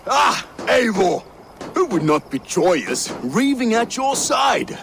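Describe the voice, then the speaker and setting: A hearty young Viking warrior, high and gravelly. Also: male voice, big pitch swings, slow, background noise, heavily acted. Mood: eager. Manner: hearty, eager.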